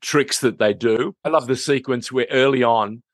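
A man's voice: mid-range voice, moderate pace, no room sound, clean studio sound. A middle-aged or older man, hosting a conversational review of TV series and repeating phrases for emphasis.

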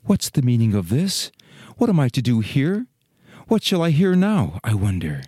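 A man's voice: mid-range voice, huge pitch swings, moderate pace, warm tone, slightly rough, dry recording, studio quality. A man voicing a naive, vain and wistful young fir tree in a children's storybook, musing aloud. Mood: wistful, lonely. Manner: musing aloud in rhetorical questions. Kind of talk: dramatized children's storybook reading.

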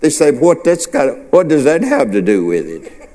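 A man's voice: medium pitch, big pitch swings, moderate pace, dark tone, long reverb tail. A male pastor preaching a sermon, asking the congregation questions and answering them himself. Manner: preacherly call-and-response, rhetorical questions.

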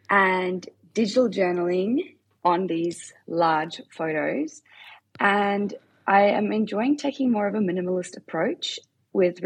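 A woman's voice: lowish voice, gravelly, breathy edge, poor audio. A woman, chatting as a podcast guest, talking freely with frequent fillers and easy laughter. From South Africa, raised in Australia. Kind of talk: casual interview chat.

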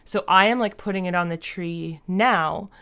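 A woman answering interview questions as a guest in a casual, thoughtful and halting way, often saying "like". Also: on the low side, pushed and bright, clear, resonant tone. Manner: thoughtful, halting, frequent "like" as a verbal habit. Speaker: podcast creator and writer, interview guest.

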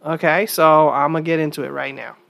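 A woman's voice: deep voice, steady tone, brisk, vocal fry, fairly bright tone. A woman hosting her own opinion podcast in a casual, chatty monologue, sounding stuffy from a cold and repeating points for emphasis. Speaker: podcast host.

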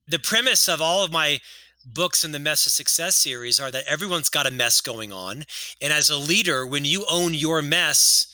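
A man's voice: fairly high pitch, lively intonation, moderate pace, bright, forceful tone, no echo, low-quality recording. A man in his fifties who has a stutter, telling his story earnestly as a guest in an interview. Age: 50s. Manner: earnest storytelling, lectures with statistics. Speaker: author and podcast guest. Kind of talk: casual interview talk.

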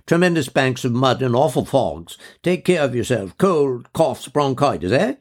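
A man voicing a pompous gentleman friend who speaks in short, clipped phrases. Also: medium pitch, very animated, normal speed, voice pushed up. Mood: blustering.

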